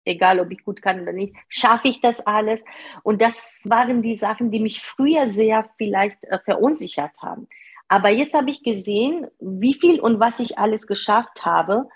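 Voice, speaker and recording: A woman's voice: medium pitch, moderate pace, breathy edge. A woman in her thirties answering interview questions earnestly, hesitating and searching for words with many fillers. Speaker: podcast guest, part-time manager.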